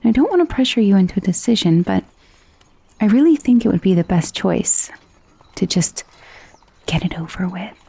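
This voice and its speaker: A woman voicing a gentle, doting female vampire in an ASMR roleplay, speaking in a soft, intimate, soothing way that often drops toward a whisper. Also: medium pitch, very animated, moderate pace, gravelly, pauses often, breathy edge, heavily acted. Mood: gently persuasive. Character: gentle, coaxing female vampire mistress of a mansion.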